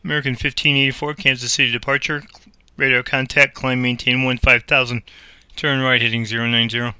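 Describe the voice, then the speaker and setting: A man's voice: medium pitch, flat delivery, brisk, sharp tone, smooth. A man playing an air traffic controller, mixing radio phraseology with casual commentary on his game.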